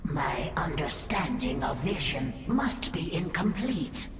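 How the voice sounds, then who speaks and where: A low, proud female alien who reasons in stiff, formal phrases. The voice reverberates from a distance under loud music in a game cutscene. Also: animated, takes it slowly. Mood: formal probing. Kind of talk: sci-fi video game acting.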